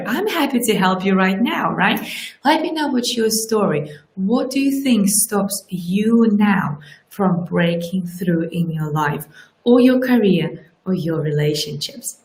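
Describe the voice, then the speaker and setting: A woman in her forties whose first language is Russian, speaking as a life coach in a lively live-streamed motivational talk and often checking in with "right?". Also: mid-range voice, measured pace. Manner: lively, animated, keeps asking "right?". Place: small indoor room with curtains. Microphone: webcam at desk distance. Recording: roomy, echoey webcam audio.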